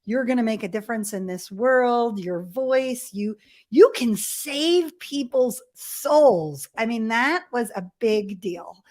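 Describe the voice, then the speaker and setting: A middle-aged woman from Ethiopia, telling personal stories as an interview guest in a candid, animated way with self-deprecating humour. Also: on the high side, slow. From grew up in Ethiopia as a missionary kid. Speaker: podcast guest. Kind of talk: conversational personal storytelling.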